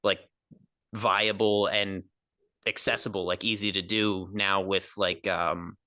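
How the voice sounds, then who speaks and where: Nasal, bright-voiced young comedian. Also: male voice, deep voice, little pitch movement, slow, dry recording. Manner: rambling, filler-heavy, laughs easily. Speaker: stand-up comedian guest. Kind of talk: casual podcast interview.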